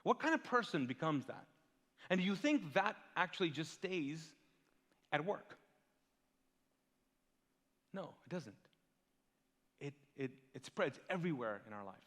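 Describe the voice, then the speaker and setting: Pastor guy preaching, kinda high voice, big loud-soft swings, lots of questions to the crowd, long hall echo. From Kuwait. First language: Urdu. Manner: animated storytelling, big swings in loudness, rhetorical questions. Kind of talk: sermon. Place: large church hall.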